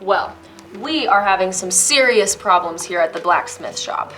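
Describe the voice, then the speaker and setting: A polite blacksmith woman, acted by a female amateur with a bright, forceful voice at a middling pitch. Faint music plays behind the reader's theater lines. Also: animated, measured pace, rough recording. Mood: troubled. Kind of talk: children's reader's theater acting.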